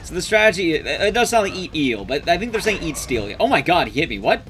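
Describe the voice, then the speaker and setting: A man's voice: on the high side, big pitch swings, quick pace, fairly bright tone, soft background music. A man in his thirties giving casual, joking live game commentary and chatting with his viewers. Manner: casual, joking, swears mildly. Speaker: streamer and speedrunner. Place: small indoor room at home, streaming.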